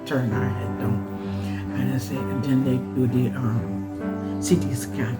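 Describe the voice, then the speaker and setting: An older woman from Jamaica with a Jamaican accent, giving a halting personal testimony as a mother. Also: extremely low pitch, fairly even intonation. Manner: halting, retells scenes with quoted speech. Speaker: mother of the speaker. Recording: loud music bed behind.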